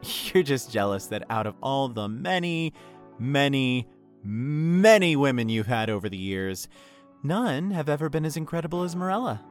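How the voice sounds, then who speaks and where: A man's voice: mid-range voice, sing-song, normal speed, faint music behind, natural voice. An actor playing an earnest, lovestruck young man in an audio drama, a little hesitant and awkward. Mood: earnest, defensive. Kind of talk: naturalistic audio drama acting.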